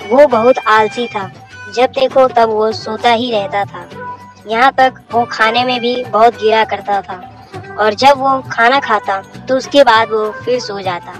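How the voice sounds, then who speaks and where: A woman narrates a scripted children's bedtime tale. Her voice sits fairly high, and soft music plays behind it. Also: steady tone, measured pace, low-quality recording. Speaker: story narrator.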